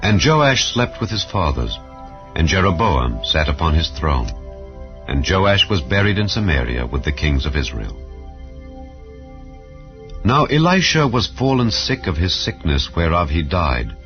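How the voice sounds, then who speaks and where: A man giving a measured, solemn scripture reading over soft background music. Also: sits low, sing-song, lo-fi sound. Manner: measured, solemn reading with rising and falling phrases. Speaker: Bible reader.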